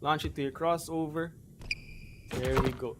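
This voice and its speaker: A man in his thirties with a Filipino accent, talking through a game tutorial and chatting with viewers on a live stream, in a relaxed, chatty way with many fillers. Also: a little high, measured pace, heavy background noise. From Philippines. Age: 30s. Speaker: gaming streamer.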